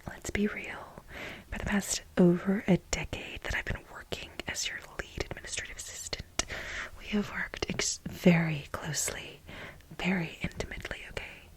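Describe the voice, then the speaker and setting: A woman playing a flustered, lovestruck office assistant in a soft-spoken ASMR role play, breathy and halting, with a dramatic, confiding manner. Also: fairly low pitch, steady tone, lightly acted, rough recording. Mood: insistent, defensive. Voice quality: breathy, whispery. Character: flustered, lovestruck female office assistant confessing to married boss.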